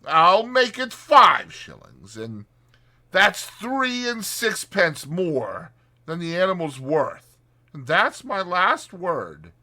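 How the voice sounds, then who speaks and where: A man voicing a shrewd, gruff horse dealer in a storybook, with a careless, terse, drawn-out delivery. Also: high-pitched, very animated, bright, forceful tone, voice pushed up, heavily acted. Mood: terse, bargaining. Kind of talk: dramatized storybook reading.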